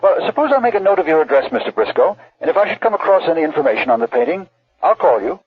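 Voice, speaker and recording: Radio play auctioneer: courteous, hedging, very animated, husky, thin phone-line sound. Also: male voice, on the high side, very fast. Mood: courteous, guarded. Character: polite, evasive male art auctioneer. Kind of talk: radio drama acting.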